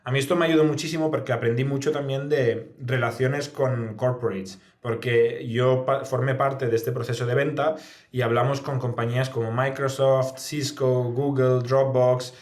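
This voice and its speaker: A man's voice: medium pitch, flat delivery, moderate pace, darkish tone. A man with an accent from Spain gives reflective, explanatory answers in a conversational interview, often adding little tag questions. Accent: Spanish from Spain. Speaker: guest, startup founder. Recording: echoey, reverberant room sound.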